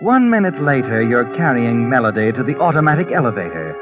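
A man's voice: medium pitch, big pitch swings, fairly quick, narrow, tinny audio, natural voice. Guy doing sinister old radio narrator, taunting, big swooping delivery, music behind. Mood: knowing, suspenseful. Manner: sardonic, dramatic, suspenseful. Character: sinister, knowing, all-seeing narrator taunting the schemer. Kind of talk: 1940s radio mystery narration.

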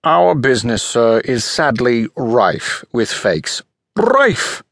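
A portly old manuscript expert for an audiobook. He is pompous and courteous, with a breathless wheeze and rolled Rs. His pitch swoops theatrically, and the recording is close and dry. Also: expressive, slow, creaky, a bit bright, no room sound, full character voice. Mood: sorrowful, theatrical. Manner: pompous, emphatic, rolls his R. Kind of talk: audiobook character voice.

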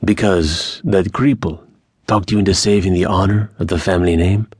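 A man performs a bandit leader for a western storybook. The voice is smooth and sociable with menace underneath, lifted in pitch, husky at the edges and recorded very dry. He speaks deliberately, with a shrewd, mocking slant. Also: steady tone, a little mellow, no echo. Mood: shrewd, menacing.

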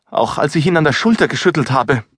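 A man voicing a frightened young female kitchen helper who stammers in excitement. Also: a little high, fairly quick, slightly rough, no room sound, clean studio sound, voice pushed up. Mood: distraught.

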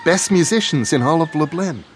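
A man's voice: on the high side, animated, moderate pace, a little hiss or hum, natural voice. Man playing a timid, stammering young nobleman. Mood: shy, earnest. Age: young adult. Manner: hesitant, stammering. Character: timid, lovestruck young nobleman, the count's son. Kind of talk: audio drama acting.

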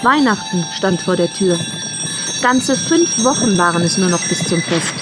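A woman narrating a children's Christmas tale in a measured, wryly humorous storybook style. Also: mid-range voice, expressive, lightly acted, rough recording. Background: music.

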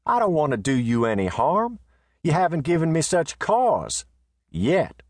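A man voicing a sleepy, unflappable cowboy who talks wearily and warns calmly in a western story. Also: fairly high pitch, measured pace, no room sound, studio quality, voice pushed up, heavily acted. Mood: weary. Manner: weary, drowsy, mildly warning.

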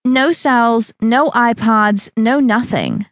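A woman voicing a pushy, upbeat young female fitness trainer who coaxes loudly. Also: on the high side, takes it slowly, no echo, clean studio sound, pitched above the performer's own voice. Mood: bossy. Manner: pushy, cheerful, loud coaxing.

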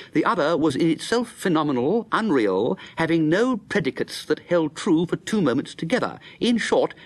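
Radio comedy lead: amiable dim English toff, highish voice, hugely lively, excitable. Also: male voice. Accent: upper-class English. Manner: breezy, stammering, exclamatory. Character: excitable, amiable, dim upper-class gentleman. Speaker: actor playing lead and narrator.

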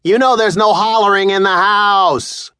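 A man's voice: steady tone, measured pace, pushed and bright, clear, resonant tone, dry recording. A man voicing a cold, prim middle-aged mother who scolds in a raised, prissy tone. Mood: scolding. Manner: primly scolding, higher-pitched.

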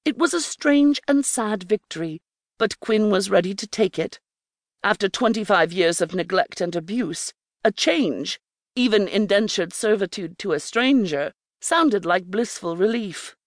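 A woman's voice: mid-range voice, expressive, unhurried, no echo, clean studio sound. A woman narrating a scripted fiction audiobook.

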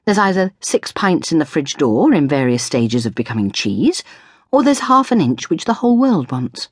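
A woman's voice: sits low, big pitch swings, normal speed, slightly rough, dry recording, clean studio sound, full character voice. A woman voicing a frazzled, sweary mother in a storybook, exasperated and fretting over her son, snapping and shrieking. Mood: harried.

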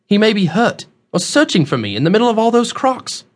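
A man's voice: high voice, sing-song, brisk, voice pushed up. A man narrating an audiobook, voicing a polite, earnest young adventurer with an anxious, urgent manner.

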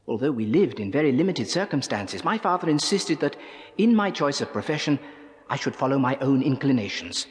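An actor playing a learned, dignified male doctor recalling his youth in a formal, measured, reflective way, with long reverb around the voice. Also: medium pitch, natural voice. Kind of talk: dramatised memoir monologue.

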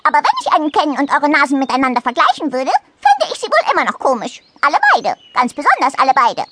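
A woman's voice: high-pitched, huge pitch swings, fast, very noisy, voice pushed up. A woman voicing a tiny, frank dormouse in a storybook, with a chirpy, blunt and emphatic manner. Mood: matter-of-fact. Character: tiny frank dormouse, lively and matter-of-fact. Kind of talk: storybook character voice.

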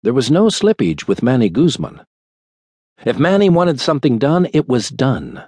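A man reading a thriller audiobook in a measured, dramatic storytelling manner. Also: medium pitch, huge pitch swings, darkish tone, breathy edge, dry recording. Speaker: audiobook narrator. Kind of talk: scripted thriller audiobook narration. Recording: dry studio recording.

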